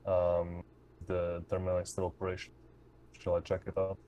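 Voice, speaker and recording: A man's voice: low-pitched, monotone, normal speed, mellow, no echo, muffled recording. A man playing a tabletop roleplaying game, speaking in short in-character reports and replies.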